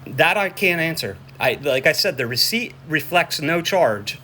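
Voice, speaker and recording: A man phoning in a complaint, explaining it in a halting, repetitive and insistent way. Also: fairly high pitch, big pitch swings, pushed and bright. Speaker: caller reporting a suspicious order to police. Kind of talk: phone conversation, explaining a complaint. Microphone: phone. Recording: noisy phone call recording.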